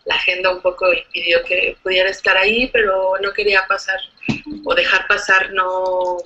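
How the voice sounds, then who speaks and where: A woman's voice: mid-range voice, flat delivery, normal speed, sharp tone. A young woman recording a presentation at home on her webcam, apologetic and chatty with many fillers. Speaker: student presenter. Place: home room. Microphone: webcam close up. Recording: dull lo-fi webcam audio with faint noise.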